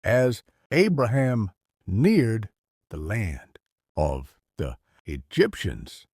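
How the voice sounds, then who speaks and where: A man narrating a scripted story solemnly as narrator, with a husky voice. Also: fairly low pitch, wildly swooping pitch, very slow, a little mellow, dry recording, studio quality.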